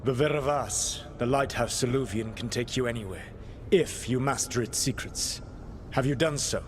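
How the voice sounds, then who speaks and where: An actor playing an ancient elven god in a video game, speaking in a grave, formal and measured way with a learned air. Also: male voice, medium pitch, slight background noise, natural voice. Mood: quiet regret. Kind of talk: dramatic video game dialogue.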